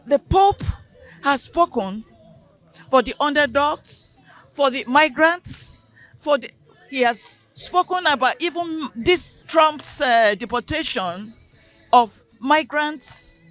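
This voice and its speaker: A woman's voice: high-pitched, lively intonation, slow, fairly bright tone, no echo, faint music behind, rough recording. A woman, speaking passionately and emphatically. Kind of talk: passionate speech.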